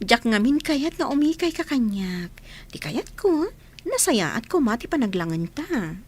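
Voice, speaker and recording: Gentle, higher-voiced kind sweetheart. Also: female voice, big pitch swings, a little slow, slightly rough, heavily acted. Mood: motherly, affectionate. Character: kind, coy woman being courted, motherly to a child. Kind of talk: Ilocano radio drama acting.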